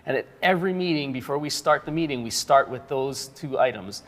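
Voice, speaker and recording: A man's voice: medium pitch, animated, fairly quick, a bit bright. Middle-aged American man in a reverberant hall. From Hawaii, United States. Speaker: guest, youth scouting organization professional. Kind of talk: talk show interview, earnest explanation. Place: reverberant plaza show set. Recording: long reverberant hall sound.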